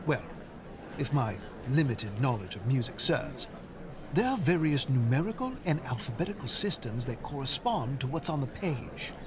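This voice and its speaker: A man's voice: mid-range voice, big pitch swings, normal speed, background noise, lightly acted. An actor playing an eager, polite young Englishman who talks in a rambling, enthusiastic way. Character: eager, polite young man, opera fan.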